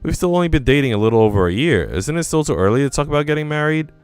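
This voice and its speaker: A man's voice: a little high, expressive, very fast, smooth, no room sound, soft background music. A man reading visual novel narration aloud in a live, casual way, now and then restarting a line.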